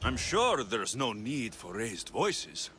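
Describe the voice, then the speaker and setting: A man's voice: mid-range voice, sing-song, takes it slowly, sharp tone, exaggerated voice. A man playing a blunt, curious young Spartan mercenary in a video game cutscene. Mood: curious, direct. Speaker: lead character in an action adventure game.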